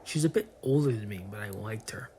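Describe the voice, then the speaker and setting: A man's voice: fairly low pitch, expressive, brisk, dark tone, a little hiss or hum, natural voice. Actor as a hesitant, lonely young man. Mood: glum, confiding. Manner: hesitant, self-conscious. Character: lonely, awkward young man confiding his frustration. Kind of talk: voice acting for a motion comic.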